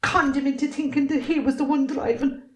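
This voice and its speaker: A woman's voice: sits high, steady tone, fairly quick, lightly acted. A woman acting an appalled, accusing wife in an amateur drama, with emphatic delivery. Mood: bitter, accusing. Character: appalled, accusing Irish wife confronting a man. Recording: roomy echo, distant from microphone.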